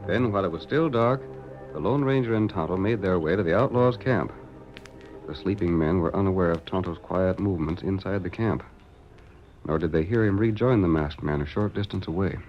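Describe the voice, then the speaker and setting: Animated dramatic radio narrator, man. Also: sits low, fairly quick. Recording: muffled old radio recording with music.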